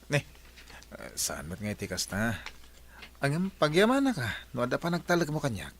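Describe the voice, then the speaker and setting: A man's voice: mid-range voice, wildly swooping pitch, normal speed, a bit bright, a little hiss or hum. A man with an Ilocano Filipino accent playing a hesitant, evasive young fiancé in a radio drama.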